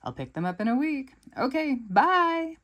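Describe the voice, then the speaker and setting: A woman's voice: high voice, sing-song, measured pace, fairly bright tone, voice pushed up, full character voice. A woman reading a storybook aloud, voicing a big, boastful male villain who swings between jovial and menacing.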